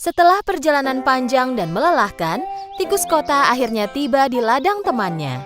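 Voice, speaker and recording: A woman's voice: high-pitched, sing-song, moderate pace. A woman narrating a scripted children's story. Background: music.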